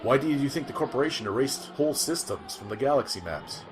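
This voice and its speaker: A man acts out an excitable field reporter in a comic sci-fi radio play. He is clueless and pushy, with a mid-range voice that swings up and down. Some noise sits behind him. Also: medium pitch, a little fast, close to an everyday voice. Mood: incredulous. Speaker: news reporter interviewer. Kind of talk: amateur comic audio drama narration.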